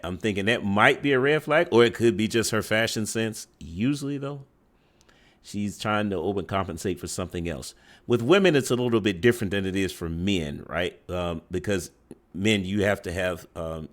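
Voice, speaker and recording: A man with a very low, deep voice gives reaction commentary on a dating clip. He speaks haltingly, full of fillers and restarts, with a judgmental tone. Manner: halting, many fillers and restarts, tags "right?".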